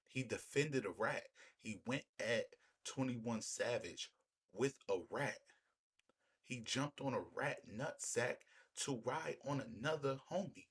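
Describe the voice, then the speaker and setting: A man's voice: medium pitch, slow, a bit bright, slightly rough. A man giving opinionated street-talk commentary in an emphatic, repetitive way, often using rhetorical questions. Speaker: commentator hosting a reaction video.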